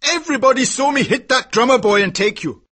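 Guy doing a sly red-bearded horse trader, high and loud, swoops all over, kinda husky. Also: animated, a little fast, full character voice.

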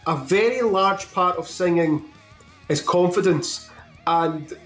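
High-voiced man hosting, rambling questions. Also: slow pace, a bit bright, faint music behind. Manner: rambling, builds up questions at length. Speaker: interview host. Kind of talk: casual interview questions. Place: home room, on a video call. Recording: echoey video call audio.

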